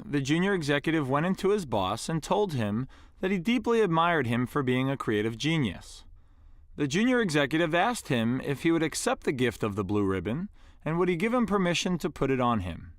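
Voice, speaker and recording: A man presenting and reading an inspirational story aloud in an earnest, deliberate way with dramatic pauses. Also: a little high, dry recording, clean studio sound.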